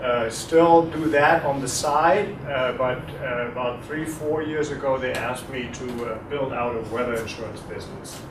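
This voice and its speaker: A middle-aged man from Germany with a German accent, giving a relaxed, hesitant university guest lecture and quizzing the audience. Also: medium pitch, moderate pace, few pauses. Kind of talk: university talk with audience questions. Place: lecture room. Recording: echoey room, distant, noisy.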